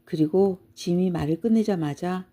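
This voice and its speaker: Female storybook narrator for bedtime listening; soft dark timbre, slightly low, measured delivery.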